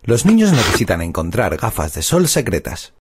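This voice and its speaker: A woman playing the narrator of a children's cartoon, telling the story in an expressive, scripted style. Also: rumbling low, normal speed, noisy background. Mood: storytelling.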